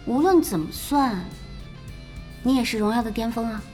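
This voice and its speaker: A woman playing a composed, perceptive, competitive young gamer in a radio drama, calm and understated. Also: fairly high pitch, moderate pace, natural voice. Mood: challenging, thoughtful. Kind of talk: Chinese radio drama acting. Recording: music behind the voice.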